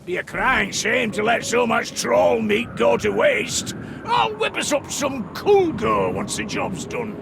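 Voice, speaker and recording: Gravelly, boisterous dwarf engineer. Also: male voice, very high-pitched, lively intonation, slow, background noise, exaggerated voice. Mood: eager, wry. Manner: hearty, colloquial, boastful. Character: gruff, boisterous dwarf engineer, fond of fights. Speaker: mission-briefing game character. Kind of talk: scripted video game voice lines.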